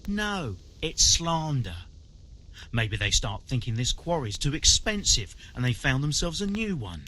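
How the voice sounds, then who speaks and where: An actor playing a proud, indignant medieval quarry master in a video game, blunt, grumbling and defensive. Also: male voice, mid-range voice, big pitch swings, a little slow, sharp tone. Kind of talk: video game dialogue acting.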